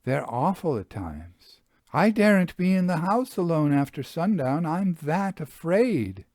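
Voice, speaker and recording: A man's voice: a little high, big pitch swings, unhurried, a little mellow, no echo, voice pushed up. A man voicing a nervous farmer's wife in a storybook reading, earnest and emphatic as she tells of her haunted house. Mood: fearful, emphatic.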